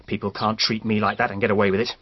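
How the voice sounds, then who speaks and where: An actor playing a resentful, unstable young husband in a radio mystery, intense and overwrought. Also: male voice, fairly low pitch, flat delivery, very fast, husky, muffled recording. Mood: agitated, bitter. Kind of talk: BBC radio mystery drama acting.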